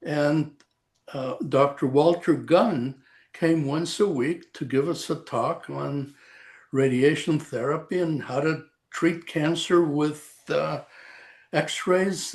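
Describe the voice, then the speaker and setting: A man in his eighties from El Salvador, a retired radiation oncologist recalling his career in a halting, rambling interview over a video call. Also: on the high side. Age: 80s. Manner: slow, rambling, halting with frequent "uh". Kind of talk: reminiscing interview.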